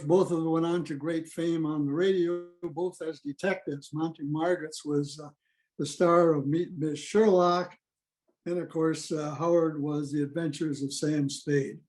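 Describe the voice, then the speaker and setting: A man giving an informal history presentation on a video call, matter-of-fact and halting. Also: fairly high pitch, darkish tone. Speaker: presenter at an old-time radio club meeting.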